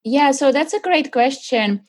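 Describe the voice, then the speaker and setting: A woman's voice: high-pitched, lively intonation, unhurried. Middle-aged woman, interview guest, earnest and searching, frequent fillers. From Czechoslovakia. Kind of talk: conversational interview answers.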